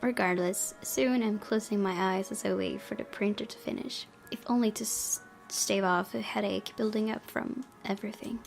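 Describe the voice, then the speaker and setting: Woman gamer with creaky voice over loud music. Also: mid-range voice, fairly even intonation, moderate pace, few pauses. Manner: giggly, chatty asides between narrated passages. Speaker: gaming video host. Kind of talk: reading a visual novel aloud with casual reactions. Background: loud game music.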